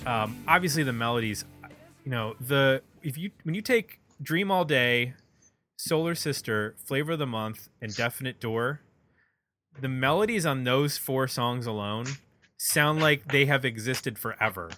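Man talking music, crisp bright voice. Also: medium pitch, measured pace. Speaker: music podcast host. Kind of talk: casual music discussion.

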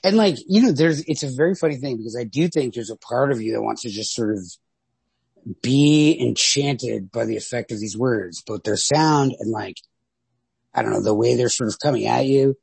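A man co-hosts a casual literary discussion, talking in an animated, sweary way. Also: medium pitch, normal speed, creaky, breathy edge, no echo. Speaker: podcast co-host.

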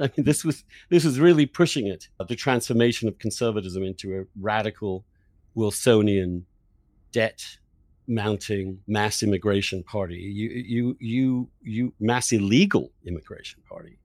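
Male podcast host, lowish pitch, dry close sound, argumentative and emphatic in political conversation. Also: darkish tone, dry recording.

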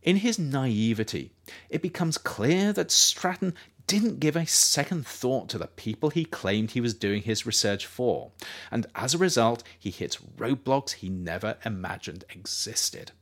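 A man narrates a nonfiction audiobook with a lively, widely moving pitch. His delivery is measured and scripted, leaning expressively on key phrases. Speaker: author reading their own book, narrator.

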